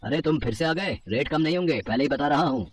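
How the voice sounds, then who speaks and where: A man's voice: a little high, expressive, quick pace, no room sound. A man playing a blunt, greedy street-food seller in a cartoon moral story, exclaiming and scoffing. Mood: gruff, scoffing. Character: grumpy greedy male golgappa street vendor. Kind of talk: animated Hindi moral story dialogue.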